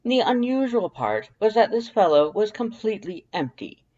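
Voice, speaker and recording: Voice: medium pitch, big pitch swings, moderate pace, mellow, husky, lo-fi sound, voice pushed up. A reader voicing a pompous, theatrical, proud male skeleton with fussy formal diction in an audiobook story. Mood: proud, indignant.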